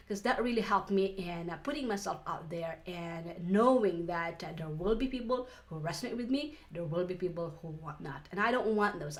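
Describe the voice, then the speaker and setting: A woman from the Philippines with a Filipino accent, teaching an online course lesson in an earnest way, with frequent restarts and soft laughs. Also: medium pitch. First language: Filipino language. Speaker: online business coach.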